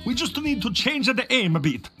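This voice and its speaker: Pompous, bright-voiced circus brother. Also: male voice, very high voice, very animated, normal speed, soft background music, exaggerated voice. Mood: relieved, hearty. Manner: showy, pompous, bickering. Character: squabbling, slick male circus acrobat, pompous showman brother. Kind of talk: theatrical cartoonish game acting.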